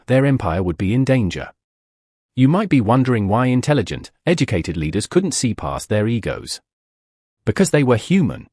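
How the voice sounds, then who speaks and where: A man narrates a history explainer in a husky, slightly breathy voice. His pitch rises and falls a great deal as he delivers punchy, dramatic lines and throws out rhetorical questions. The recording is close and dry, with no room sound. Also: a little mellow, no room sound. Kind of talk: scripted documentary narration.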